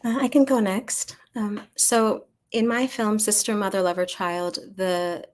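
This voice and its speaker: A woman filmmaker speaking at a festival Q&A, answering thoughtfully in an unhurried, storytelling manner with soft fillers. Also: medium pitch, fairly even intonation. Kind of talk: reflective panel answer. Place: festival screening venue.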